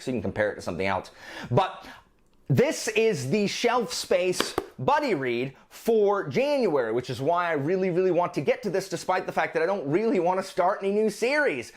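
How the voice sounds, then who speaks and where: A man talking casually and enthusiastically to viewers as a book vlogger, repeating words for emphasis. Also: a little high. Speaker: book reviewer presenting a monthly reading list on his channel. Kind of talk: casual, chatty vlog talk to viewers.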